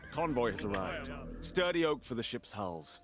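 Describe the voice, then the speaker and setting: An actor playing a proud, impatient Persian satrap in a video game, speaking in a curt, commanding way. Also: male voice, medium pitch, very animated, moderate pace, over loud music, close to an everyday voice. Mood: brisk command. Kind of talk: video game dramatic acting.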